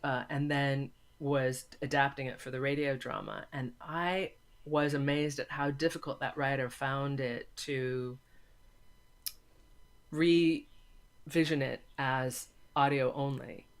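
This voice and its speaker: A woman's voice: rumbling low, fairly even intonation, slow pace. A woman chatting casually as an interview guest, enthusiastic and emphatic, often repeating words for stress. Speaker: interview guest, audio drama maker.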